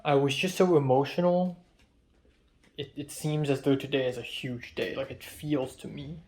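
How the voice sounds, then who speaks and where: A young man with a Hong Kong English accent and a raspy, muffled voice, talking in a rambling, self-deprecating way in a confessional vlog, with many um fillers. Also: medium pitch, fairly even intonation, moderate pace, a little mellow, slight background noise. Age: young adult. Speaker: vlogger.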